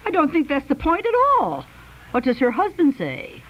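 An actress playing an indignant, disapproving aunt in a radio comedy-drama, sounding scandalized and huffy. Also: female voice, high voice, huge pitch swings, normal speed. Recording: muffled, noisy old radio recording.

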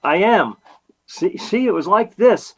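Flustered young husband, meek, bumbling, slow hesitant speech, apologetic, old radio comedy. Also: male voice, high voice, expressive, breathy edge, full character voice. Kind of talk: 1940s radio comedy acting. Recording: vintage radio broadcast.

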